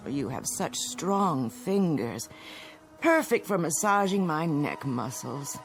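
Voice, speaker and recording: Genteel older mother, lively low-pitched voice. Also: slow, soft background music, exaggerated voice. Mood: languid, pleased. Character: pampered, genteel elderly mother of a wealthy household. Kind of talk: radio drama acting.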